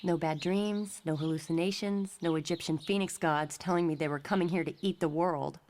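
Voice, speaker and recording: A woman's voice: lowish voice, fairly even intonation, fairly quick, darkish tone, close to an everyday voice. A woman playing a wry, relieved teenage girl telepath in a motion comic.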